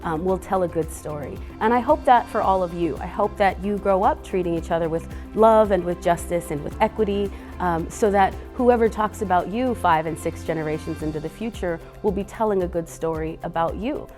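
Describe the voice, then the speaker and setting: A woman's voice: on the low side, fairly quick, hardly any pauses, darkish tone, soft background music. A woman speaking earnestly and unhurriedly to children as a guest reader, with a few fillers. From Boise, Idaho. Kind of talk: gentle talk to kids.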